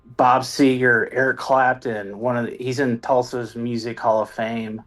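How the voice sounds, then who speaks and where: A man's voice: medium pitch, flat delivery, a little slow, a bit bright. Candid, rambling veteran man. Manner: candid, rambling, self-deprecating. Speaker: guest, Marine Corps veteran and songwriter. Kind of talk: casual interview storytelling.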